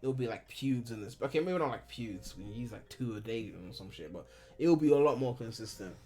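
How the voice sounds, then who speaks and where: A man's voice: mid-range voice, expressive, fairly quick, a little mellow. Rambling young man streaming from home. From Ghana. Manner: rambling and candid, heavy on filler "like", swears freely. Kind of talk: casual live-stream chat answering viewers.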